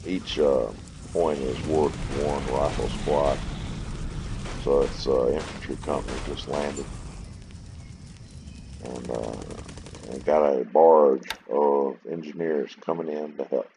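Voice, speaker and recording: Very deep-voiced man narrating a wargame replay. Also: steady tone, slow, pauses often, mellow, a little hiss or hum. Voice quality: very low voice. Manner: rambling, plainspoken, self-deprecating. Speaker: gameplay video host. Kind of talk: casual wargame replay commentary.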